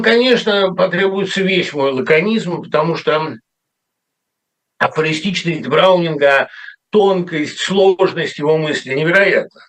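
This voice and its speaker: A middle-aged man talks in a loose, rambling way and keeps correcting himself with dry, wry asides. His voice sits a little high for a man. He sounds like a writer chatting on air about his upcoming events and work. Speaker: writer commenting on a radio show. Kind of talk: casual spoken monologue.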